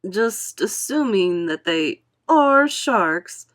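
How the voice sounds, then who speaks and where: A brash centipede from a children's storybook, acted by a woman reader, bluff and impatient. The words come slowly, with big swings in pitch. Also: expressive, a bit bright. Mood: scoffing. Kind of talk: storybook character voice in a read-aloud.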